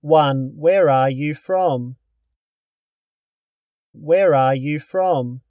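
A speaker reading English listening-test items with careful, deliberate enunciation, recorded close and dry. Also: mid-range voice, a little mellow, no echo. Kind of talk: scripted slow test reading.

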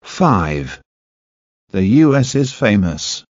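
A man reading short scripted sentences for a language listening test, deliberate and clearly enunciated. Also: fairly low pitch, big pitch swings, no room sound, dull audio.

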